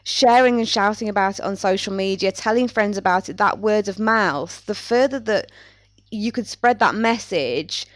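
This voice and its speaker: A woman's voice: medium pitch, moderate pace, no echo. A woman speaking in an articulate, considered way as a panellist in a casual podcast chat.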